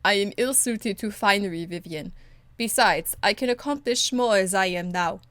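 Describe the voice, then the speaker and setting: A woman's voice: medium pitch, normal speed, fairly bright tone, no echo, lightly acted. A woman voicing a blunt, stern and dutiful warrior in a video game, speaking in a curt, earnest, formal way. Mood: modest, brusque. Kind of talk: video game dialogue acting.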